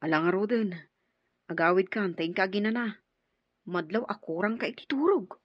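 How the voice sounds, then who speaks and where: A woman voices a steely, scheming accomplice in a radio drama. She gives orders conspiratorially in a breathy voice, with dull lo-fi sound. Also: mid-range voice, moderate pace, no echo, natural voice. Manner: commanding, conspiratorial.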